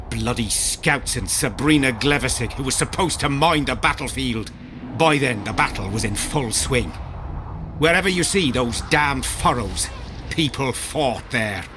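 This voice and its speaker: An actor playing an embittered veteran soldier in a video game, telling of war in a grim, coarse-tongued way. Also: male voice, mid-range voice, background noise, natural voice. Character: embittered veteran soldier, gruff survivor of a lost regiment. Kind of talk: dramatic video game acting.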